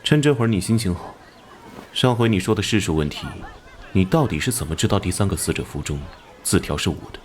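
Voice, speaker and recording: An actor playing a hot-tempered police squad captain, brusque and businesslike, in a Chinese animated drama. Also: male voice, low-pitched, fast talker, some chatter behind, slight background noise. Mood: probing.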